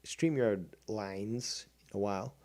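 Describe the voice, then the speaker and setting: A man's voice: lowish voice, slow pace, mellow. A man hosting a livestream, talking in a combative, mocking and profane way. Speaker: streamer host. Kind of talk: livestream commentary.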